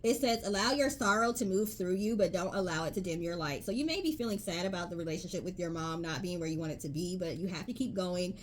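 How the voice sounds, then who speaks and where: A woman's voice: lowish voice, quick pace, few pauses, a little hiss or hum. A woman giving a casual tarot reading to her viewers, chatty and emphatic, reading card messages aloud. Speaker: tarot reader.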